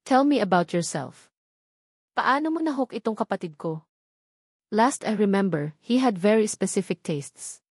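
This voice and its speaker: A woman voicing a smug, mocking older brother in an audio drama, drawling her lines slowly and teasingly, recorded dry. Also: medium pitch, expressive, breathy edge, dry recording.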